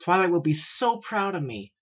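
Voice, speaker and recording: Man putting on an excitable high-pitched girl unicorn. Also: moderate pace, husky, highs cut off, heavily acted. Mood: hopeful. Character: young female unicorn apprentice, excitable, anxious, eager. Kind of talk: fan fiction read aloud with character voices.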